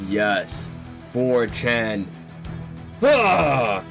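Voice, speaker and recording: A man voicing a vengeful, megalomaniac reindeer villain in grave, slow, portentous speech. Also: fairly low pitch, steady tone, soft background music. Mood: grim, menacing.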